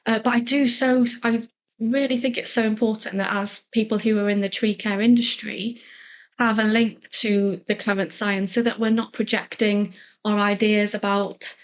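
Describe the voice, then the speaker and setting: A woman's voice: medium pitch, flat delivery, normal speed, poor audio. A woman moderating a webinar over a video call, speaking politely with hesitations and fillers. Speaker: webinar host.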